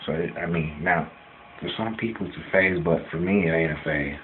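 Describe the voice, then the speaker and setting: A man's voice: sits low, normal speed. A man of twenty-nine in a casual personal video rant, speaking bluntly and defiantly and repeating himself for emphasis. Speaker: vlogger answering questions about himself. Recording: dull lo-fi sound with background noise.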